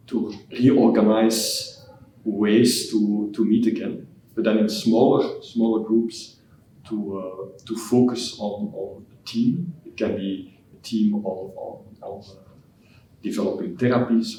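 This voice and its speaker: A man from Belgium, a scientist answering interview questions in a halting way, often repeating words as he searches for phrasing. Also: lowish voice, dark tone. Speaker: scientist giving concluding remarks. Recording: echoey hall, mic at a distance, faint noise.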